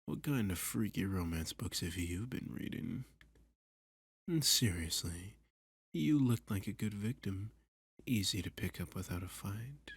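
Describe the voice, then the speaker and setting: A man playing a flirty, menacing masked villain in an ASMR roleplay, breathy and husky, often whispering, with a domineering, teasing, condescending manner. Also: on the low side, lively intonation, unhurried, no echo, full character voice. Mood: mocking, flirty.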